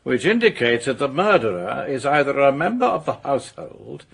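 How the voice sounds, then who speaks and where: A man playing an authoritative senior police officer in a radio detective drama, formal and measured. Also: mid-range voice, very animated, vocal fry, fairly bright tone, husky, exaggerated voice.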